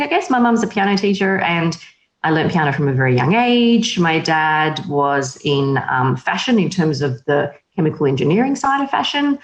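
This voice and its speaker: A woman from Slovakia chats as a guest on an interview show, lively and quick to laugh. Her voice sits fairly low for a woman. The room around her is echoey and open. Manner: lively and chatty, laughs often. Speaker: magazine editor, interview guest. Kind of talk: casual interview chat.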